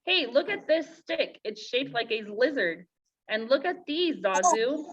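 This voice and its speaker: Lady putting on an eager little lion prince, high voice, storybook read, bit muffled. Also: lively intonation, a little slow, a bit bright, full character voice. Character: curious, playful, bossy young male lion cub.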